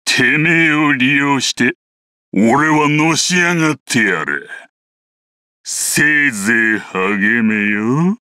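A thuggish, ambitious guard captain from a video game. The voice is very hard-edged and forceful, with lively swoops in pitch. He talks coarsely, full of boasts and menace. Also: measured pace, no room sound, clean studio sound. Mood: menacing, boastful. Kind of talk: video game character voice clips.

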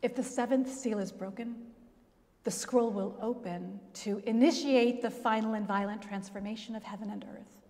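A middle-aged woman pastor preaching a sermon at a pulpit, telling the story expressively with dramatic pauses. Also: fairly high pitch, fairly even intonation, dark tone. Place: large church, at a pulpit. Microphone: gooseneck pulpit mic. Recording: long church reverb.

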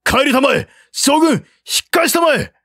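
Male narrator as alarmed, loyal soldier, storybook epic, urgent pleading calls, raised pitch. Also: lively intonation, normal speed, bright, forceful tone, no room sound, clean studio sound, full character voice. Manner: urgent calling out. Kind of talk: dramatic audiobook character reading.

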